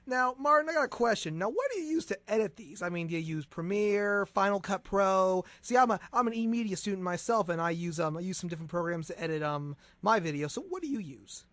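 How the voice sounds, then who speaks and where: A man's voice: high voice, lively intonation, a little fast, hardly any pauses, fairly bright tone, no room sound. A young man hosting a college radio interview, chatting in a rambling, jokey way as he puts questions to his guest. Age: young adult. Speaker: radio show host, interviewer.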